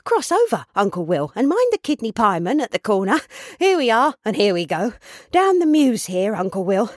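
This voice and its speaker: Woman playing an excitable old father, bustling, pitch leaping. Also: measured pace, no echo, clean studio sound. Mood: eager, bustling. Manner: trotting, breathless, repetitive bustling speech. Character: excitable, kindly old father, a poor ticket porter. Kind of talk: storybook character voice.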